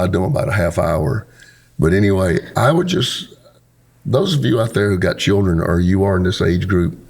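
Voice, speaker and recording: A middle-aged pastor, hoarse, hosting a casual talk show in an earnest, preacherly way. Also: male voice, low voice, a little mellow. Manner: earnest and preacherly, with homespun sayings. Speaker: pastor and podcast host. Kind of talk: casual conversation, closing a podcast episode.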